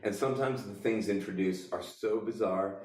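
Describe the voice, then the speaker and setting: A man with a very low voice talks casually as a podcast co-host. He explains his points with deliberate emphasis. The room echoes, and he sits back from the microphone. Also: darkish tone. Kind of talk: casual discussion. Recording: echoey room, distant mic.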